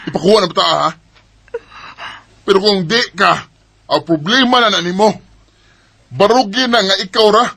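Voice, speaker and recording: A man's voice: sits high, moderate pace, vocal fry, pauses often, sharp tone, full character voice. An actor playing a callous young bachelor who refuses fatherhood, accusing and dismissive, with a Filipino Cebuano accent in a radio drama. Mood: defensive, accusing. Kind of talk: Philippine radio drama acting.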